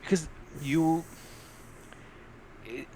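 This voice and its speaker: A young man co-hosting a podcast in a casual, animated, rambling and sweary chat about films. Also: on the high side, very slow. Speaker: podcast co-host. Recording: clearly noisy amateur podcast audio.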